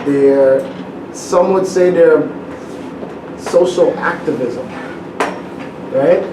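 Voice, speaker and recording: A man's voice: on the high side, very slow. A man co-hosting a casual interview, asking long, rambling, loosely built questions. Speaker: co-host. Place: studio. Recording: roomy, distant mic, noise.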